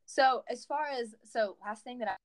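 A woman's voice: on the high side, normal speed. A woman interviewing a band over a remote call, chatty and upbeat, with many fillers.

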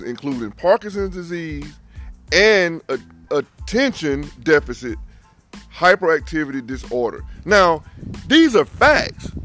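A man in his forties co-hosting a casual podcast, who gets loud and fired up as he talks. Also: fairly high pitch. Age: 40s. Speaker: podcast co-host.

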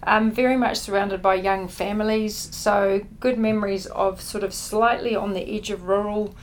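A middle-aged woman, a native Dutch speaker with a New Zealand accent, answers questions as a podcast guest. Her pitch is average and her delivery conversational. Some faint ambient noise sits behind the voice. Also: steady tone, normal speed. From born in the Netherlands, grew up in New Zealand. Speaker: podcast guest, company chief executive.

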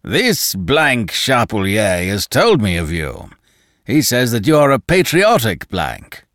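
Booming, hectoring colossus, put on by a male reader in a lively-pitched, dry audiobook read. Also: slow pace, no echo, full character voice. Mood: blustering. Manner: loud, blustering, hectoring. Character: roaring, coarse, domineering giant of a man.

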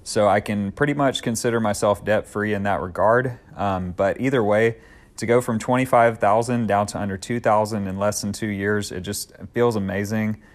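A man's voice: lowish voice, steady tone, normal speed. A man in his thirties hosting a solo podcast, talking in an earnest, upbeat, conversational way with occasional fillers. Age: 30s. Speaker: podcast host.

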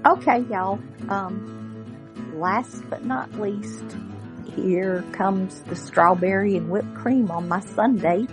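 A woman hosting a radio show in informal, halting casual chat. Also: mid-range voice. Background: plucked guitar music.

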